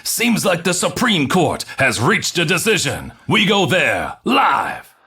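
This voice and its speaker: An actor playing an excitable pirate radio host in a cartoon, with hyped show patter. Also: male voice, a little high, slow, creaky, full character voice. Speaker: broadcast host.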